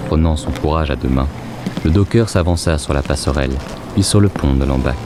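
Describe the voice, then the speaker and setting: A man narrating an audio fiction in a measured, literary storytelling style. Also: extremely low pitch, music in the background.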